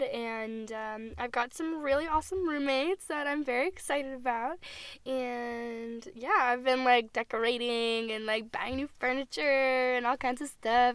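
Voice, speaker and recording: A young woman with a fairly high, smooth voice chats and laughs her way through a podcast in a parked car. She is hoarse from a cold and her voice cuts in and out. The sound is close and dry. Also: no room sound. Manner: chatty, laughing, restarts words often. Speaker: podcast co-host. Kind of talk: casual chat.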